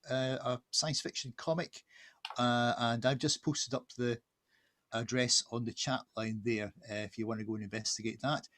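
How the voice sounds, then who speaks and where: A man's voice: medium pitch, fairly bright tone. A man co-hosting an online event on a video call, casual and unscripted, with a hesitant, rambling delivery. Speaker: co-host, art director.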